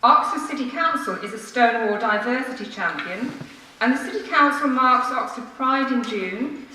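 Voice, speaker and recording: A woman reads a formal prepared speech to a council meeting, measured and earnest, with emphatic phrasing. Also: fairly high pitch, bright, forceful tone. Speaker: member of the public addressing a city council. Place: large council meeting room, indoors. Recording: echoey room from far off, faint noise.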